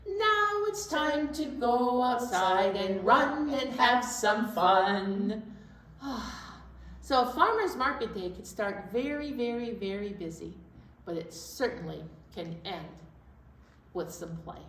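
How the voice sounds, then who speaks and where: A woman presenting a children's storytime in a playful, animated way, moving between chatty talk and sing-along. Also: on the high side, slow. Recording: very echoey room, distant mic, faint noise.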